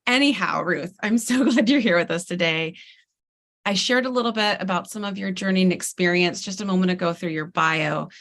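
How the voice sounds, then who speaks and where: A woman hosting an interview, her voice slightly raspy and strained as if starting to go. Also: lowish voice, a little fast, a bit bright. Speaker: podcast host. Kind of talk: warm-up introduction and interview chat.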